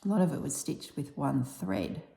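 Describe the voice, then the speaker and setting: An Australian woman with a husky, slightly strained voice chatting casually while she stitches, softly dropping toward a whisper at times. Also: low-pitched, moderate pace. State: voice strained from yelling. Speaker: embroidery vlogger. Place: tiled room with little on the floor. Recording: echoey tiled room.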